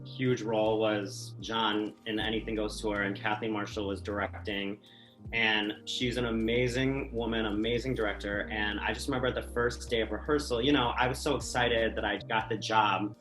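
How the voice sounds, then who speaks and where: A man's voice: on the low side, fairly bright tone. A man speaking as a panel guest, recounting his experiences in a casual but emphatic, earnest way. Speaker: talk-show guest, stage actor. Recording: echoey room, music behind.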